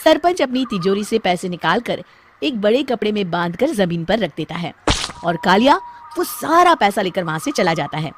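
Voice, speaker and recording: A woman's voice: medium pitch, sing-song, normal speed, background noise. A woman storyteller delivering scripted narration for a cartoon tale.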